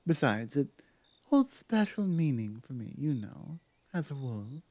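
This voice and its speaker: Woman as slow-spoken werewolf pack leader, dry close sound. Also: very deep voice, big pitch swings, dark tone, no room sound, exaggerated voice. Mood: thoughtful. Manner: measured, hesitant, earnest, with thoughtful pauses. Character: dominant but softening female werewolf pack leader. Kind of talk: ASMR roleplay, close one-on-one address.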